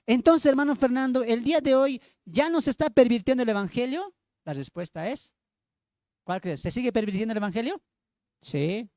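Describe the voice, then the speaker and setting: Male evangelical preacher, Bolivian accent, high pitch, emphatic teaching style full of questions, dry sound. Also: a little mellow, no room sound. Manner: emphatic, repeats phrases, asks rhetorical questions. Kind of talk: sermon with Bible teaching.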